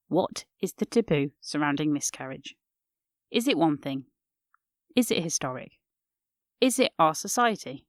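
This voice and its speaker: A woman's voice: lowish voice, dry recording, clean studio sound. A woman hosting a podcast, narrating in a reflective, scripted style. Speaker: podcast host.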